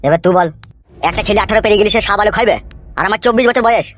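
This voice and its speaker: A man's voice: sits high, quick pace, a bit bright, slight background noise. A man voicing a foul-mouthed young cartoon villager, complaining crudely and emphatically. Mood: indignant. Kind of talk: comic Bengali cartoon dialogue.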